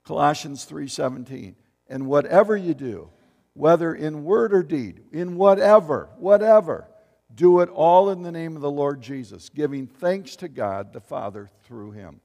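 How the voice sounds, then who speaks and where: Gravelly seventy-something pastor in a reverberant hall. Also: male voice, a little high, animated, unhurried, mellow. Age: 70s. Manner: reads scripture aloud, asks the congregation questions. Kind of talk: sermon. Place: church sanctuary.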